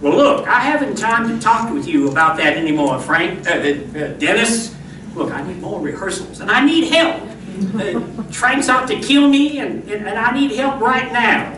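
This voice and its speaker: Casting: male actor as earnest, hammy Western marshal, high lively voice, comic radio play. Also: normal speed, crowd noise. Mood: flustered. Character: earnest, jittery Western town marshal telling his own tale. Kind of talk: comic old-time radio acting with narration. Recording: distant, echoey, noisy live stage recording.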